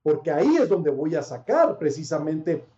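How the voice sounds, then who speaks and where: A man who works as a sports reporter, answering interview questions as an animated, expansive storyteller. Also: fairly high pitch, moderate pace. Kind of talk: interview answers, anecdote telling. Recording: dull, roomy sound.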